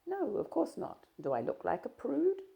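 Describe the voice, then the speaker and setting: A woman's voice: a little high, huge pitch swings, moderate pace, vocal fry, muffled recording, pitched above the performer's own voice, exaggerated voice. A woman reading as a shy young woman.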